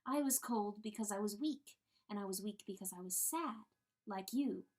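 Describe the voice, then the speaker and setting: A woman's voice: medium pitch, moderate pace, darkish tone, natural voice. A woman reading a storybook, voicing a kind rooster lord who speaks in a soothing, earnest way. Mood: tender. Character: kind, gentle rooster lord, tender and reassuring.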